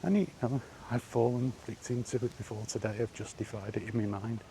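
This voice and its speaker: A man's voice: medium pitch, steady tone, a little fast, warm tone, a little hiss or hum. A man and gaming vlogger giving a blunt, emphatic opinion rant while walking outdoors by allotments.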